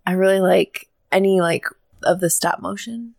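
A woman's voice: on the low side, moderate pace, gravelly, no echo, studio quality. A woman guesting on a podcast, talking casually in a hesitant, rambling way. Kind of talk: casual chat.

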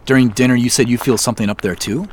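An actor playing a curious young executive visiting a farm, talking hesitantly with nervous laughs. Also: male voice, mid-range voice, steady tone, fast, natural voice. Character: curious, unsettled young city executive man. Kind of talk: audio drama acting. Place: outdoors on a farm at night.

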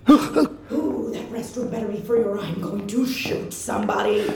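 A woman's voice: medium pitch, unhurried, vocal fry, heavy background noise. An actress playing a brash, impatient, bossy woman in an audio drama, blunt and exasperated. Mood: desperate.

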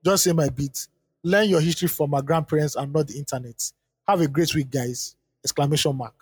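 A man who co-hosts a podcast, reading a listener's letter aloud and then chatting about it in quick bursts with frequent restarts. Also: on the high side, breathy edge, dry recording, clean studio sound, big-room sound. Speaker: podcast co-host.

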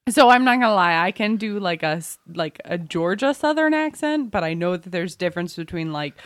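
A woman co-hosting a podcast in casual chat, animated and quick to exclaim. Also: fairly low pitch. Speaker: podcast co-host.

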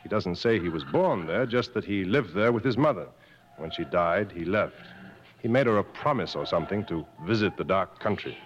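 A gruff wary jungle guide, swings up and down a lot, dull old radio recording. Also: male voice, sits low, lively intonation, normal speed. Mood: pondering. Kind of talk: 1950s radio drama acting. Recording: muffled, noisy old radio broadcast.

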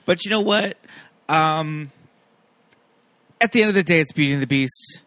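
A man's voice: a little high, moderate pace, a bit bright, dry recording. An American man co-hosting a podcast, chatting casually about film in a playful, emphatic way. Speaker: podcast co-host.

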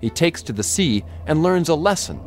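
Radio host, man, very lively intonation. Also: on the high side, moderate pace, soft background music. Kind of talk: scripted narration.

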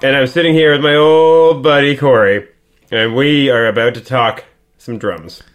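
A man's voice: medium pitch, steady tone, normal speed, a little hiss or hum. A young man from Canada co-hosting a casual podcast, rambling through a story with restarts and laughs. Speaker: podcast co-host. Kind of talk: casual chat. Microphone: podcast microphone.

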